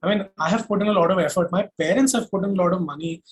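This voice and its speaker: A man's voice: high voice, racing. A man with an Indian accent answering interview questions in an emphatic, self-assured way, explaining things methodically point by point. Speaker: singer-songwriter and music producer guest.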